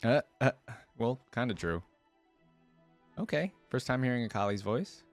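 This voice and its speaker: A man's voice: medium pitch, expressive, moderate pace, faint music behind. A man giving casual reaction commentary, musing aloud with curiosity as host.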